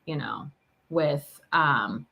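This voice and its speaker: A woman talking reflectively and casually about personal experience, feeling her way through her thoughts in a halting, searching delivery. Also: low-pitched, creaky, breathy edge, muffled recording. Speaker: podcast host sharing personal reflections. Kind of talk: reflective, casual spiritual conversation.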